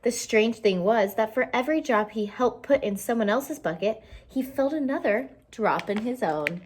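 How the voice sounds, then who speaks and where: A woman reading a children's picture book aloud expressively, pausing to explain it in simple terms for young listeners. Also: on the high side, lively intonation, a little hiss or hum.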